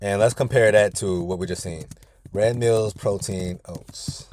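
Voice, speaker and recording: A man's voice: on the low side, a little slow, darkish tone. A man coaching a weight-loss group on a video call from his car, talking casually and often calling the group "y'all". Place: inside his parked car.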